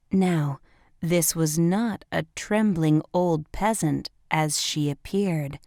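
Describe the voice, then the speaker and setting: A woman narrating a children's bedtime story in an expressive, measured storybook style. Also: medium pitch, no echo, studio quality.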